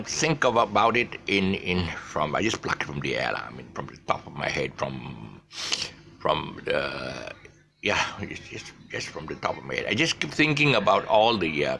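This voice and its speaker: A man's voice: low voice, vocal fry, pushed and bright, slight background noise. An older man with a Malaysian English accent, giving a rambling, chatty pronunciation lesson full of restarts. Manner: chatty, digressive, many restarts. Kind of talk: rambling casual lesson monologue.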